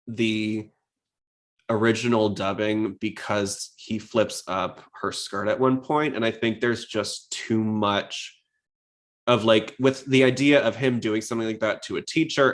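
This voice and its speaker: Low-voiced man chatting casually. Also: fairly bright tone. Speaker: podcast host. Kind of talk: casual chat, episode commentary.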